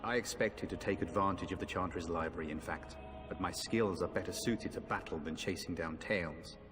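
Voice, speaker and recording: A man playing an earnest, dispirited knight in a fantasy game, speaking in a formal, measured, grave way. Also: sits low, natural voice. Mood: courteous, resigned. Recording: long hall reverb, faint music behind.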